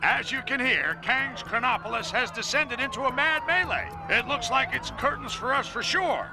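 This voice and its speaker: A man's voice: very high voice, expressive, moderate pace, over music, rough recording. An actor playing a brash, cynical newsman in a video game, delivering a mocking, theatrical bulletin. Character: brash, cynical news broadcaster sneering at heroes.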